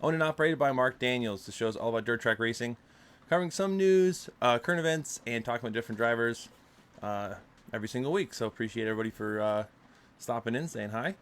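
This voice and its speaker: A man's voice: mid-range voice, lively intonation, fast, fairly bright tone, smooth. A man hosting a casual chat show, stuffed up from allergies and talking loosely with lots of fillers. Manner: loose, filler-heavy talk. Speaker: podcast host. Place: home room with loud rain outside. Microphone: desktop microphone.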